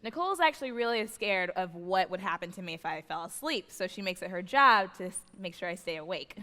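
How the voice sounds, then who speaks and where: A woman's voice: fairly low pitch, lively intonation, a little fast, pushed and bright. An American woman comedian telling a comic story on stage as a live radio play. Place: large theatre stage. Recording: echoey theatre hall, live stage noise.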